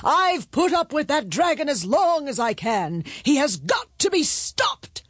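A woman's voice: high voice, big pitch swings, measured pace, no room sound, clean studio sound, pitched above the performer's own voice. A woman voicing an exasperated, blustering king in a storybook tale, speaking emphatically. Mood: furious, declaring. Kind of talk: storybook character voice.

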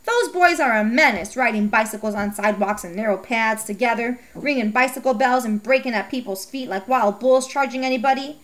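A woman voicing a strict, nagging mother who scolds her daughter with rapid questions. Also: on the high side, fairly bright tone, natural voice. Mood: scolding.

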